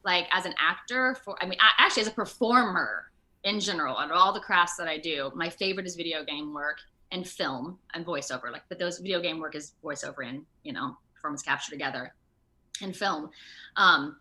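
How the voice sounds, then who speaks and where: A woman actor talking casually as an interview guest, animated and quick to act out remarks. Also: low voice, poor audio. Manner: animated, acts out quoted remarks.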